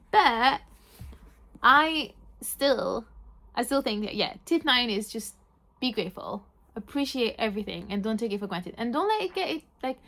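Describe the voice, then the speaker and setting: A young woman whose first language is French, with an accent that mixes French and Yorkshire, chatting excitedly in a book vlog and saying "like" often. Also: medium pitch. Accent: French mixed with Yorkshire. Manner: chatty, says "like" a lot, excitable. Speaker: book vlogger.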